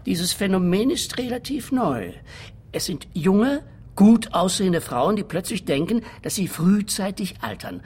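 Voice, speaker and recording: A money-minded anti-aging therapist in a radio drama. His voice is husky and fairly high, with lively swings of pitch. He explains himself smoothly and condescendingly, like a salesman. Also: unhurried, heavily acted. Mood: cool, evasive. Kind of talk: radio play dialogue.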